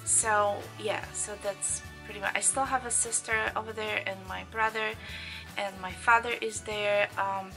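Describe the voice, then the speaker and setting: Chatty Polish-accented woman with gravelly edge. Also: mid-range voice, pushed and bright. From Poland. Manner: chatty, loose phrasing with many fillers; frequent light laughs. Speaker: vlogger. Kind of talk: casual Q&A to camera.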